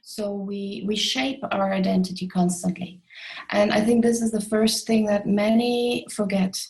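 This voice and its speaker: Mellow middle-aged woman, reflective interview guest. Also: mid-range voice, flat delivery, a little slow, low-quality recording. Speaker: interview guest, expat coach. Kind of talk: reflective conversational interview answers.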